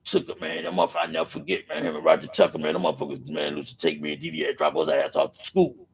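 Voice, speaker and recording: A middle-aged man with a raspy voice, speaking in an impassioned, profane monologue on a podcast, filling his pauses with "man" and circling back through restarts. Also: fairly high pitch, sing-song. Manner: rambling with restarts. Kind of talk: impassioned street-life monologue.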